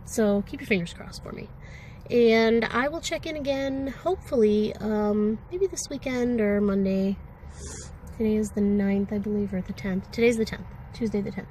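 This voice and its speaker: A woman's voice: medium pitch, moderate pace, dark tone, slight background noise, rough recording. A woman with a sore throat and a congested voice, talking candidly and ramblingly in a personal vlog, with many fillers. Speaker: vlogger. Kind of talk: casual personal vlog talk.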